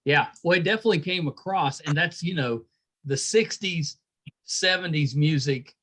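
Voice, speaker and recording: A man's voice: on the high side, moderate pace, fairly bright tone. A man hosting a podcast, chatting casually as he interviews musical guests. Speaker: podcast host interviewing a band.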